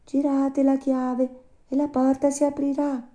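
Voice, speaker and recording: A woman's voice: high voice, monotone, unhurried, dark tone, pitched above the performer's own voice. A woman voicing a tiny talking cricket, a stern little storybook moralist with a small, thin voice. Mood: calm.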